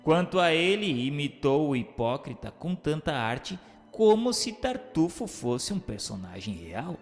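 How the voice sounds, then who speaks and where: A man with a slightly high voice narrates a scripted text with lively, swooping intonation. His delivery is formal and deliberate, carried in a long reverb with music underneath. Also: gravelly. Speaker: narrator reading questions and notes. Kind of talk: scripted narration of a spiritist book.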